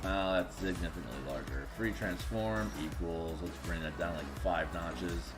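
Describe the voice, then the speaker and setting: A raspy, tired man chatting casually on a live stream while making game art, rambling and muttering to himself as he works. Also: low voice, normal speed, over music. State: tired, just woke up.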